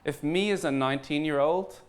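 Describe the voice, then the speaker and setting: An Irish man speaking as a startup founder at a conference, informal and earnest, pausing deliberately for emphasis. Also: on the high side. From Ireland. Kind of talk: conference talk.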